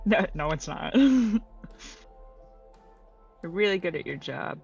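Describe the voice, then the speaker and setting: A woman's voice: lowish voice, lively intonation, takes it slowly, gravelly. A woman chatting and roleplaying as a player in a tabletop game, playful and informal. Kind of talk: casual banter and roleplay. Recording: muffled lo-fi, light music behind.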